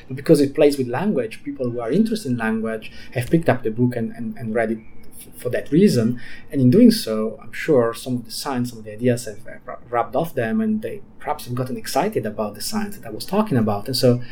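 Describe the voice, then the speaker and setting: A man's voice: medium pitch, a little fast, mellow, breathy edge, poor audio. A man who grew up in Switzerland and whose first language is Italian, an author answering interview questions thoughtfully and often repeating words as he restarts.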